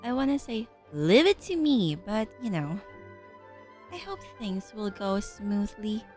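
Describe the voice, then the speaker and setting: A woman's voice: mid-range voice, big pitch swings, a little slow, a little mellow. A woman streamer giving casual gameplay commentary, playfully bantering back at the characters. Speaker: gaming streamer. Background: game music.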